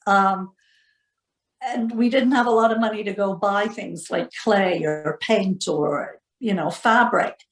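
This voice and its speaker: A woman's voice: medium pitch, unhurried. A woman from the northeast of England with a northern English accent, talking reflectively and casually as an artist in an interview, thoughtfully searching for words. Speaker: textile doll artist being interviewed. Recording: roomy, echoing sound.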